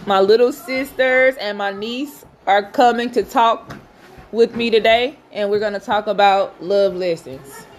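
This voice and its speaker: A woman's voice: medium pitch, a little slow, a little hiss or hum. Laughing woman in her thirties. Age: 30s. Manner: laughing, frank and profane. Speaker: host. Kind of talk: casual chat.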